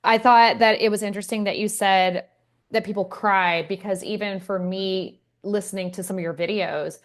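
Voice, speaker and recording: A woman's voice: medium pitch, steady tone, normal speed. A woman hosting an interview, speaking in a reflective, conversational way as a coach. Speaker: host, coach.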